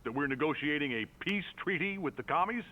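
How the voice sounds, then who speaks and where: An actor playing a blustering, stubborn, patriotic American leader with a bombastic, folksy manner in a game cutscene. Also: male voice, a little high, expressive, a little fast, phone-quality sound, lightly acted. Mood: incredulous.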